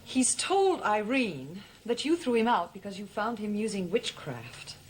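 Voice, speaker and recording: An actress playing a haunted, earnest heroine struggling with her memory in a gothic television soap, with intense, halting delivery. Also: mid-range voice, very animated, a little hiss or hum.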